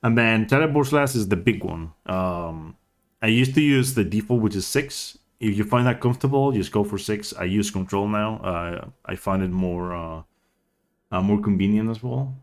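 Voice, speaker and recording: A man giving a casual, unscripted game tutorial as a speedrunner, with a hesitant, halting delivery that often trails off. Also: on the low side.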